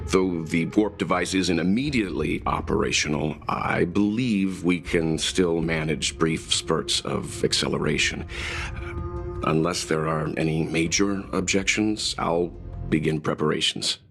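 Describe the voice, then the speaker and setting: A composed engineer in a sci-fi game with a low, gravelly voice. He is measured and matter-of-fact, with music playing behind him. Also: a bit bright, heavily acted. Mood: calm, practical. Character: calm, pragmatic engineer of a space train crew. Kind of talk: video game voice acting. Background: prominent music.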